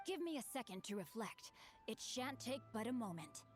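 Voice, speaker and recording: A woman's voice: on the high side, very animated. A courteous young bookish swordsman. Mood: earnest. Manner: formal, courteous and measured. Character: young male swordsman, bookish and formally polite. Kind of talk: video game voice acting. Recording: game audio with faint music behind.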